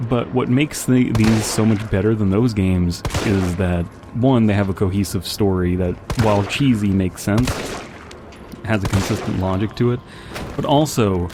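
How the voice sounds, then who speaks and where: A man's voice: on the low side, background noise. A man giving casual, rambling commentary as he plays a video game, with wry joking asides. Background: game music and gunfire.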